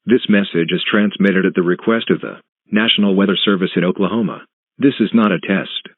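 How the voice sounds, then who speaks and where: Low-pitched male alert announcer, tinny band-limited sound. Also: fairly even intonation, fairly quick, no echo. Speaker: announcer of news and emergency alerts. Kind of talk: scripted emergency broadcast announcement. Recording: muffled phone-like lo-fi sound.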